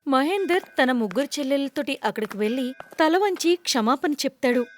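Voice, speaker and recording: High-pitched woman storyteller. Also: expressive, a little fast. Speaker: narrator. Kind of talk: scripted moral-story narration.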